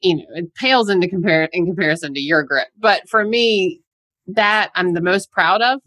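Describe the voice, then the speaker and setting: A woman chatting casually, an animated storyteller who laughs easily and acts out what people said. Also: on the low side, normal speed, dry recording. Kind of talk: casual chat, anecdote.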